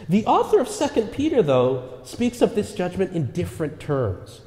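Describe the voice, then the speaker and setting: A man's voice: sits high, huge pitch swings, normal speed, dark tone. A male pastor giving a sermon earnestly, often checking in with the congregation through rhetorical asides. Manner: earnest preaching with repeated rhetorical "right?" asides. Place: church sanctuary. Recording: echoey church with long reverb.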